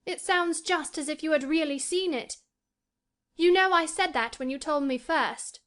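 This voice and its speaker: A woman performs a frail young boy from a storybook. His voice is pitched high, well above an adult's, with a plaintive, highly-strung eagerness. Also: normal speed, a bit bright. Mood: enraptured. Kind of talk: acted storybook dialogue.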